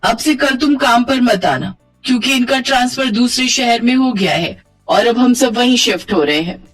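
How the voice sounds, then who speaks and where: A woman voicing a brisk housewife employer dismissing her maid in a moral storybook tale. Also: fairly high pitch, husky, faint music behind. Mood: matter-of-fact.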